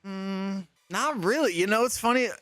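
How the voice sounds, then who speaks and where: A man telling lively anecdotes in a casual interview chat, laughing often and acting out the people in his stories. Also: high-pitched, normal speed, dry recording, soft background music. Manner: animated storyteller, laughs often, acts out people he quotes.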